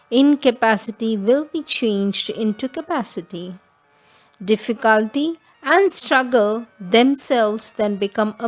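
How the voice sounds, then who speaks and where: A woman reading devotional spiritual writings aloud as scripted text, in measured, deliberate phrases with frequent pauses. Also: fairly high pitch. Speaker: reader of spiritual texts.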